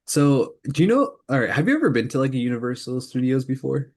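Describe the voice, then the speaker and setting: A man's voice: medium pitch, very animated, fast talker, darkish tone. A man co-hosting a podcast, leading a casual news roundup in informal speech. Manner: informal, leads the segments. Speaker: podcast co-host. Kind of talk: casual news roundup chat about anime.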